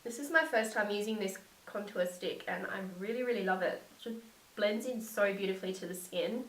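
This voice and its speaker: A young woman with a slightly croaky voice from a cold, narrating a makeup tutorial and gushing softly about the products. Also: mid-range voice, fairly quick, distant mic. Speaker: beauty vlogger. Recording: echoey room, set back from mic.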